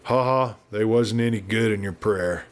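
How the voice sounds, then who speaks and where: A man voicing a big, brooding Western villain with a deep, thick voice, speaking calmly in rustic frontier dialect. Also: normal speed, natural voice. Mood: calm scorn.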